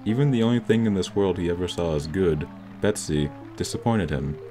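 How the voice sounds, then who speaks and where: Male essay narrator, very low voice, scripted analytical read over clearly audible guitar music. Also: a little fast. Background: instrumental music with plucked guitar.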